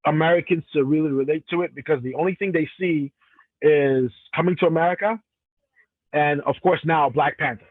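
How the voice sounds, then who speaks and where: A man's voice: fairly high pitch, a little fast, no echo, narrow, tinny audio. A professional wrestler from Cameroon, a man answering interview questions in a casual, rambling way with frequent restarts. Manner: rambling, many restarts and self-corrections.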